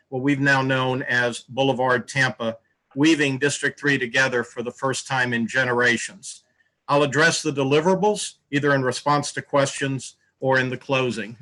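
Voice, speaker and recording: A man's voice: medium pitch, pushed and bright, poor audio. A man in his sixties giving a polished, formally phrased candidate introduction at a debate.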